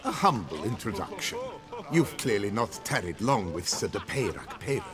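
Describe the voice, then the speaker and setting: A man's voice: on the low side, very animated, moderate pace, music in the background. An actor playing a haughty, sardonic man in a fantasy game, with formal, sententious delivery. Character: haughty, sardonic man lamenting modern times. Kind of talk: fantasy video game dialogue.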